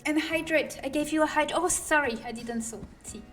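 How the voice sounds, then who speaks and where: A woman's voice: high-pitched, moderate pace, a bit bright. A woman from France with a French accent, chatting casually on a livestream while she works, often muttering to herself or dropping to a whisper. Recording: distant mic with music behind.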